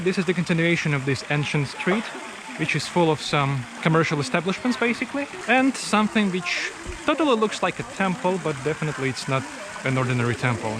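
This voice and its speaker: A man's voice: a little high, background noise. A man giving unscripted travel commentary as a vlogger, explaining what is around him and often rephrasing himself. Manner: explanatory, repeating and rephrasing phrases. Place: mostly outdoors at a tourist site.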